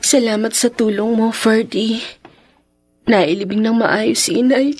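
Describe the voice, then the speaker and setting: A woman's voice: on the high side, steady tone, a little slow, darkish tone, faint music behind, lightly acted. An actress playing an earnest, grieving young pregnant woman in a Tagalog radio drama, speaking in an emotional, pleading way. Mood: subdued, worried.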